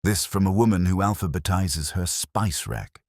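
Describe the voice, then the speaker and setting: A man's voice: low-pitched, moderate pace, darkish tone, no echo, studio quality. A man voicing a catty, indignant village woman who retorts in an offended manner in a mystery story.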